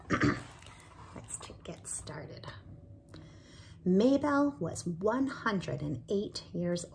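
A woman's voice: medium pitch, a little hiss or hum. A woman in her forties, a teacher reading a picture book aloud to children from a small room at home, speaking expressively to her friends. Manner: expressive, slow, talks to listeners as friends.

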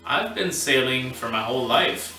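Dry-humored man in his thirties, relaxed vlog co-host; recorded from a distance with slight noise. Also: medium pitch, moderate pace. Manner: dry, deadpan quips. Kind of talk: casual chat. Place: living room at home, indoors. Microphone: far camera. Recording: echoey room, mic at a distance.

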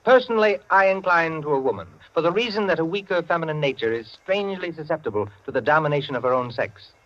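An actor playing a pompous, argumentative intellectual in 1940s radio drama, lecturing in precise phrases. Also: male voice, on the high side, expressive, fast, a bit bright. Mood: self-assured. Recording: muffled 1940s radio recording.

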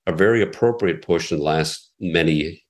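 A middle-aged man with a very low, deep voice talks through his ideas in a measured, explanatory way. He often restarts his sentences as he works out what he means, like a seasoned radio executive answering interview questions. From United States. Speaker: radio station president, guest.

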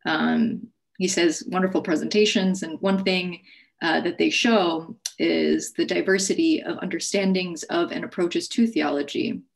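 A young adult woman moderating a panel on a video call, relaxed and using fillers. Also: fairly low pitch, measured pace, vocal fry. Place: home office. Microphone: earbuds on a video call.

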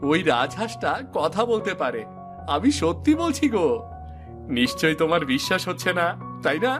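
A man's voice: fairly high pitch, sing-song, normal speed. A man voicing an eager, earnest young village fisherman in a cartoon, insisting on what he says. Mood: excited, insistent. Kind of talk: cartoon dialogue acting. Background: music.

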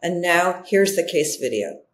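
A woman's voice: on the low side, lively intonation, measured pace, reverberant, poor audio. A middle-aged woman reading a scripted introduction as a podcast co-host on a video call from home. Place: living room at home. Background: music.

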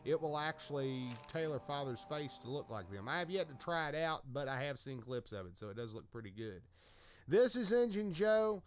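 Higher-pitched man hosting a gaming video. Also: lively intonation, moderate pace, smooth. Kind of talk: casual mod showcase narration. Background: video game sounds and dialogue.

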